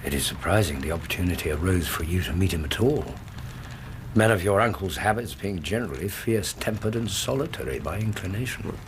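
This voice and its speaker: A genial doctor companion in a period radio drama. He is formal and well-spoken, pitched a bit low, with faint noise on the recording. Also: animated, fairly quick. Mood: inquiring. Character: amiable English doctor companion, keen cycling enthusiast.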